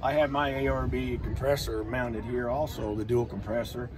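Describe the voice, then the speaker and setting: An older man hosting a vlog, chatting casually and haltingly with fillers at an outdoor fair. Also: medium pitch, steady tone. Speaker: vlog host. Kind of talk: casual on-camera chat. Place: outdoor fair among vehicles. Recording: loud outdoor crowd noise.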